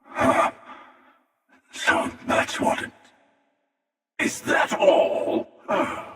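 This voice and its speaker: An actor playing a menacing, world-weary interdimensional hunter in a video game, slow and taunting, with a gravelly rasp and an otherworldly echo. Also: male voice, fairly bright tone. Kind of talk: video game character dialogue. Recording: reverberant, distant echoing voice.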